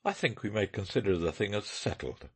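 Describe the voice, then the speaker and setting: A man voicing a brisk, eccentric detective in an audiobook, lively and matter-of-fact. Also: fairly low pitch, slightly rough. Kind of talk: audiobook character reading.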